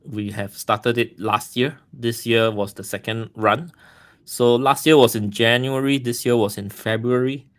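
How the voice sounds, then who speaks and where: A man's voice: mid-range voice, fairly even intonation, unhurried, a bit bright. A man from Singapore with a Singaporean accent, a podcast guest talking in a friendly way, repeating words as he thinks. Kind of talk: casual podcast interview.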